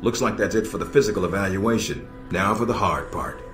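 An actor playing a stern, middle-aged American intelligence operations chief in a video game, measured and dryly sardonic. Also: male voice, low voice, close to an everyday voice. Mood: probing, serious. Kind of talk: video game cutscene dialogue. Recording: echoey, music underneath.

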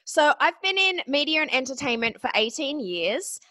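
An Australian woman, a media expert, talking excitedly as a podcast guest. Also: sits high, normal speed, no room sound. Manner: bubbly, excited, upbeat. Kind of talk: casual interview chat.

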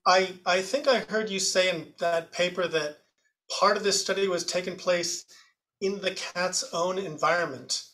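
A man on a video call asking a question as a panelist, in a halting way. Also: sits high, bright, forceful tone, poor audio.

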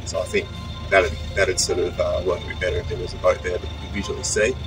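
A man's voice: sits low, fast, music in the background. A young man talking casually to camera on a sailboat deck, thinking aloud as he goes. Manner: relaxed, thinking aloud with restarts. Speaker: sailing vlogger.

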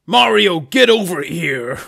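A man voicing a furious, threatening hedgehog gang leader in a webcomic read-aloud, shouting his threats. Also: on the high side, animated. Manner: shouted, menacing, drawn-out threats.

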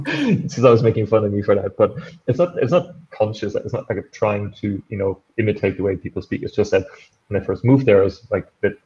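A man's voice: fairly low pitch, animated, fairly quick, dark tone. A young man who speaks English as a second language, with a German accent touched by a slight Irish lilt. He chats casually on a video call from home and fills his sentences with "um" and "like". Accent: German, with a slight Irish tinge. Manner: rambling, full of fillers like "um" and "like". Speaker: meetup participant. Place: bright room at home with shelves, indoors. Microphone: headphones, laptop on a video call. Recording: dull lo-fi call audio.

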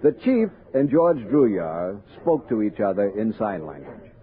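A thin, band-limited 1960s radio recording of a thoughtful expedition captain. His delivery is measured and literate, with widely moving intonation. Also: fairly low pitch, big pitch swings, phone-quality sound, a crowd in the background. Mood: reflective. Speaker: narrator and actor. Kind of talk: 1960s radio drama narration.

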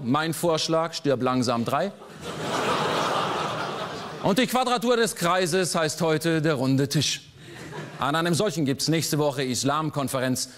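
A man in his forties performing a political cabaret monologue on a theater stage, sardonic, landing dry punchlines with sudden jumps in pitch. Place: large theater stage before a live audience.

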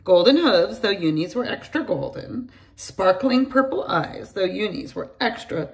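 A middle-aged woman reading a children's picture book aloud to her class, in an animated storytelling manner, recorded on a close phone camera in a large room at home. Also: mid-range voice, a little slow, a little hiss or hum. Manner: animated storytelling, addresses children by name, gravelly edge. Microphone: phone or laptop camera at close range.

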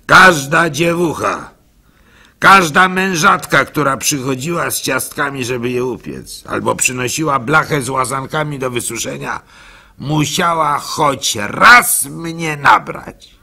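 Radio drama lead: good-natured gullible fool, husky and bright-edged, halting storyteller. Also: male voice, mid-range voice, heavily acted. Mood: resigned, earnest. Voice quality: husky, gravelly. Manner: halting, stammering repeats, chuckles, acts out hecklers. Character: gullible male village simpleton and orphan, good-natured, telling his story. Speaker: actor narrating a monologue.

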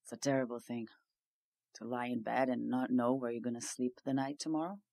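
A woman's voice: a bass voice, steady tone, fairly quick, vocal fry, dark tone, no echo. An actress playing an earnest, weary homeless migrant woman, confiding her story in a conversational audio-drama monologue. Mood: tender, worried. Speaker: narrator-protagonist of an audio drama. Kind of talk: first-person dramatic monologue. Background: plucked guitar music.

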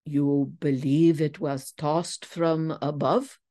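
A woman voicing a courteous, restrained gentleman in an audiobook story, measured and polite. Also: low voice, steady tone. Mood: measured, curious.

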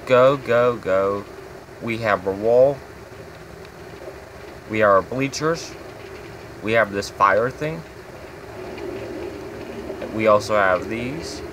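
A man's voice: on the low side, slow pace, pauses often, fairly bright tone, slight background noise, low-quality recording. Rambling young-sounding man giving a game tour. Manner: rambling, lots of fillers. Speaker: game channel host. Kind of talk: casual build tour commentary.

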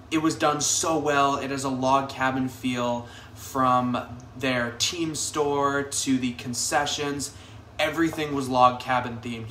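A man's voice: mid-range voice, bright, forceful tone, a little hiss or hum. A man in his twenties giving a casual, upbeat arena review to camera from a hotel room, with easygoing fillers and restarts. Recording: echoey room, mic a bit distant.

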